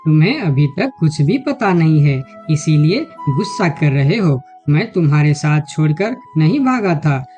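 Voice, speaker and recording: A woman's voice: low voice, sing-song, unhurried, few pauses, warm tone, muffled recording, faint music behind. A woman voicing a loyal, earnest male elephant in a children's cartoon story. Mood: pleading, earnest.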